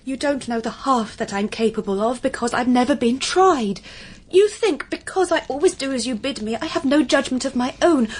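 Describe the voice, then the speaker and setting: An eager young Victorian girl played by a woman with a fairly high voice. She is earnest, naive and determined, speaking emphatically in period audio drama style over faint background noise. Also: moderate pace, natural voice. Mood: pleading, determined. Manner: pleading, emphatic.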